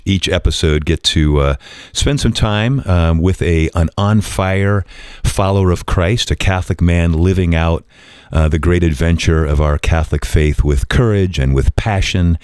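A man hosts a faith radio program in a very deep voice. He sounds eager and welcoming and leans on fillers as he talks. The sound is dry and close. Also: normal speed, darkish tone, clean tone, no echo. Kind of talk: hosting a faith talk program.

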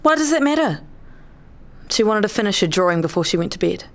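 A woman's voice: mid-range voice, big pitch swings, fairly quick. A woman playing an exasperated, fiery wife and mother in a radio play, blunt and combative.

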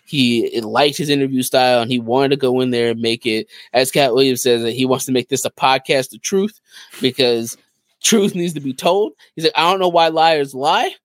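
A man's voice: mid-range voice, moderate pace, no echo. A man co-hosting a podcast, casually retelling stories and acting out what people said. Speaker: podcast co-host.

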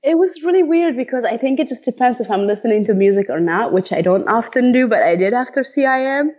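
A woman's voice: a little high, expressive, fast, darkish tone. Laughing woman runner, slightly muffled. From Ethiopia. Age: 30s. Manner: laughs often, many fillers. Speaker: guest, runner. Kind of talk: casual interview talk.

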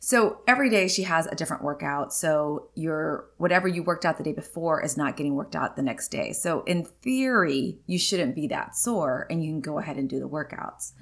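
A woman's voice: deep voice, animated, normal speed, creaky, few pauses, fairly bright tone. A woman in her forties hosting her own podcast, talking candidly in a chatty, rambling way with many ums and likes. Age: 40s. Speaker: podcast host. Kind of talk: casual solo podcast chat.

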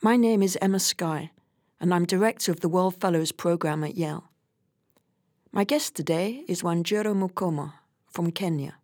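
A woman hosting an interview podcast, asking questions in a lively way. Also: on the low side, normal speed, no echo, clean studio sound. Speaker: podcast host.

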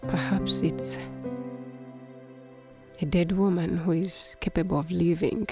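Woman acting slow, anguished young woman, music behind. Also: lowish voice, steady tone. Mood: anguished, brooding. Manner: slow, halting, dramatic delivery. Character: anguished young woman singer, shamed daughter pleading with parents. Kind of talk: radio play acting.

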